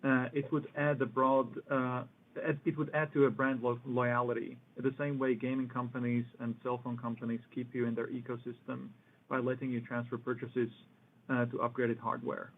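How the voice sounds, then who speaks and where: A man's voice: mid-range voice, flat delivery, normal speed. Man moderating on a noisy phone line. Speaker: call moderator reading investor questions. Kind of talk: formal question-and-answer moderation.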